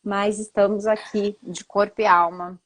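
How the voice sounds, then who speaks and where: A middle-aged woman with a voice of middling pitch, still hoarse after a cold. She speaks as a guest astrologer, laying out her explanations calmly and in measured order. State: hoarse, getting over a cold.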